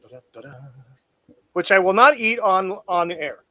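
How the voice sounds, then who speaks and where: A man's voice: high-pitched, animated, moderate pace, bright, forceful tone. A man co-hosting a live stream in casual chat, laid-back and joking, laughing often. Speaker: stream co-host.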